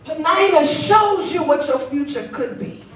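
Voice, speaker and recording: A woman of thirty-nine preaching on a church stage with a handheld mic, chatty and playful, then building to shouts. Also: sits high, expressive, unhurried. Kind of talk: sermon. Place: large church sanctuary, stage. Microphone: handheld microphone. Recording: echoey hall, far mic, crowd responses. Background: congregation calling back.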